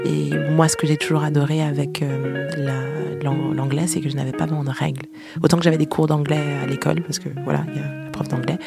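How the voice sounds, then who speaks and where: A woman's voice: low-pitched, level tone, brisk, creaky, loud music behind the voice. A Québécois French woman in her thirties, a singer-songwriter casually recounting memories, chatty and laughing often. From Quebec, Canada.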